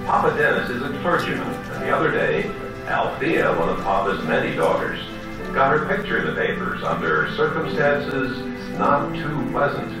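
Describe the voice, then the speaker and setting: A man's voice: medium pitch, sing-song, moderate pace. A man narrating an old-time soap opera as its announcer, solemn and theatrical in delivery. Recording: very echoey old broadcast, loud music, distant.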